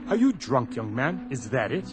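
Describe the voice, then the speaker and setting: An actor playing a stern, gruff, old-fashioned club doorman in a radio drama, curt and indignant. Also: medium pitch, very animated, moderate pace, music in the background, lightly acted.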